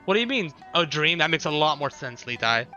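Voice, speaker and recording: Very bright-voiced man streaming casually. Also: on the high side, sing-song, fairly quick, highs cut off, soft background music. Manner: repeats short phrases, thinks aloud. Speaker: live game streamer. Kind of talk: casual live stream commentary, chatting with viewers.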